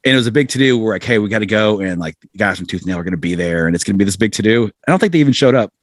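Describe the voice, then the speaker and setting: Fast-talking, low-pitched man telling band stories. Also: dry recording, studio quality. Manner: animated storytelling, acting out quotes, self-deprecating. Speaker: musician, podcast guest. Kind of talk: casual anecdotal interview chat.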